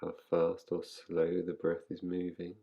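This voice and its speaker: A man guiding a relaxation meditation in a calm, unhurried, soothing way, leaving long pauses between phrases. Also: low-pitched, vocal fry, dull audio. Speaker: meditation guide. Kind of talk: guided relaxation, yoga nidra meditation.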